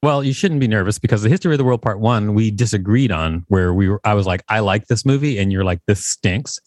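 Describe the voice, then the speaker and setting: A man's voice: on the low side, fast, a little mellow, no room sound, studio quality. A man co-hosting a podcast, reading an article aloud and making wry, joking asides. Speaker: podcast co-host. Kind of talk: reading aloud with casual commentary.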